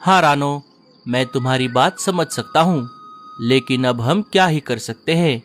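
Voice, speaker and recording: A man voicing a caring male sparrow husband who speaks gently and reassuringly in a cartoon. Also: medium pitch, measured pace, a little hiss or hum, close to an everyday voice.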